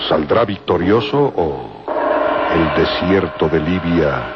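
A man's voice: sits low, animated, slow, over loud music. A man narrating a vintage radio serial in a dramatic, solemn and suspenseful scripted style. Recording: muffled, very noisy old radio recording.

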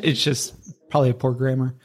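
Male American interviewer, mid-pitched, easygoing podcast-coach chat, informal and loosely phrased. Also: little pitch movement, fast.

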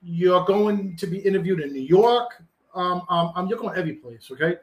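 A male podcast host with a notably high voice. He talks loosely, stumbling through ums and restarted phrases as he chats. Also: lively intonation, moderate pace, lo-fi sound. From Rhode Island. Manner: rambling, many ums and restarts. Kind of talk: casual interview chat.

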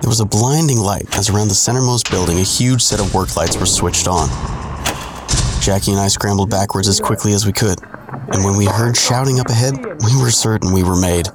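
Man acting a low-voiced, sardonic storyteller. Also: a little fast, background noise, lightly acted. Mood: tense, ominous. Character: wry, sardonic man narrating his own teenage misadventure. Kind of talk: first-person scripted narration, audio drama.

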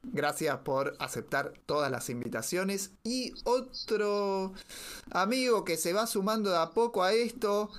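A man's voice: high-pitched, lively intonation, a little slow. A man with an Argentine accent hosting a podcast in a casual, chatty style. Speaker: podcast host. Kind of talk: casual podcast introduction and chat.

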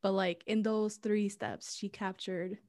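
Animated podcast co-host woman. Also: medium pitch, a little slow. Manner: animated, laughs often, code-switches into Spanish. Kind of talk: casual chat.

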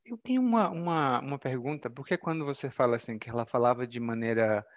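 A Brazilian man with a Brazilian Portuguese accent, hosting a language-learning podcast and speaking clearly and slowly like a teacher. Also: medium pitch, lo-fi sound. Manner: clear, slow teacher's speech with lively rises.

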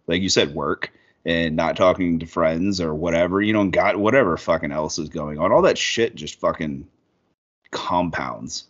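Thirties man, low voice, loose profane podcast co-host, muffled lo-fi audio. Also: moderate pace. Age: 30s. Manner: rambling, profane, lots of fillers. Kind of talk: casual podcast chat.

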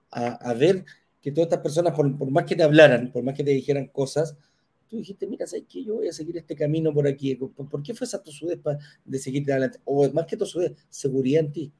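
A man's voice: fairly high pitch, mellow, slightly rough. A man hosting an interview, asking friendly questions and often restarting his sentences. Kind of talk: casual interview.

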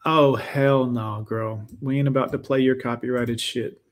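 A man's voice: mid-range voice, fairly even intonation, measured pace, soft background music. A man hosting a reaction commentary, talking over a video with mocking, sarcastic asides.

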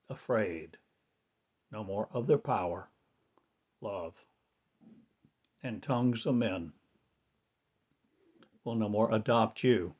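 A man with a lowish voice intones a grandiose, repetitive monologue in a trance-like chant. He speaks very slowly, drawing out each phrase. The recording sounds dull and lo-fi. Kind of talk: slow incantatory spoken-word monologue.

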